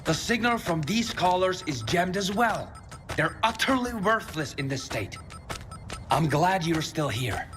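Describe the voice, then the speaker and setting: An actor playing a fussy, ambitious scientist in a video game, speaking in an exasperated, precise manner. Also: male voice, high voice, sing-song, unhurried, over music. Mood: impatient, disappointed. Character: fussy, ambitious male scientist obsessed with his research. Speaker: quest-giving scientist.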